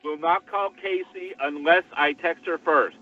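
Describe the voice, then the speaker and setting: A man's voice: fairly high pitch, a little slow, no echo. An older man chatting casually and giving advice in a blunt, coaxing manner. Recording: tinny phone-like line, distant, music behind.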